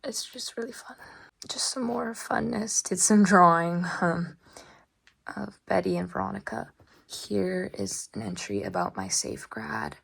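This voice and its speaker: A young woman flips through her journal, speaking softly, often close to a whisper, with lots of ums. Her voice is strongly gravelly and breathy, raspy for the day. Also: fairly low pitch, big pitch swings, a little slow. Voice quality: gravelly, husky. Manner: soft, often half-whispered, rambling with ums. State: raspy voice today. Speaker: journal vlogger. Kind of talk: casual journal flip-through.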